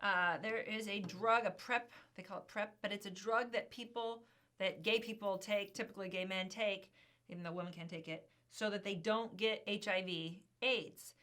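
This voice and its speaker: A woman co-hosting an insurance news show, talking in a chatty, emphatic way with a slightly hoarse voice. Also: medium pitch, steady tone, normal speed, fairly bright tone. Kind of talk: casual chat.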